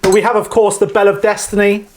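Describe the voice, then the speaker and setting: A woman's voice: mid-range voice, level tone, fast, darkish tone. A woman co-hosting a homemade comedy show, telling tall tales with teasing mock grandeur. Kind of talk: playful comedy banter.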